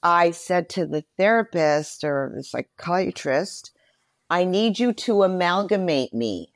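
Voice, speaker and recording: A woman in her sixties speaking candidly and reflectively as an interview guest, with measured emphasis. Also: lowish voice, lively intonation, no room sound, clean studio sound.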